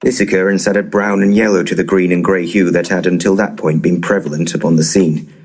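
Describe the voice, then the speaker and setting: A deadpan ghost hunter for an audio play, husky and super low, formal and long-winded. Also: male voice, brisk. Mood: solemnly narrating. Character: solemn, long-winded male paranormal investigator, dryly absurd. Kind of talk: comedic audio drama acting and narration.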